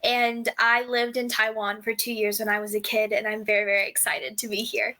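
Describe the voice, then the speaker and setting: A seventeen-year-old girl, a high school senior, introducing herself as a panel guest in a shy, eager way. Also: little pitch movement, fairly quick, sharp tone, slight background noise. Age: teens. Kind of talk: casual panel conversation.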